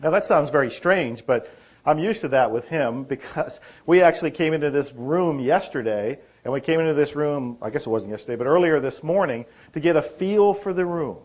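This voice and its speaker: A man's voice: fairly high pitch, lively intonation, a little fast, darkish tone. A man co-presenting a seminar, taking questions informally in a courteous, anecdotal manner. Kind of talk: informal question-and-answer talk. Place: seminar room.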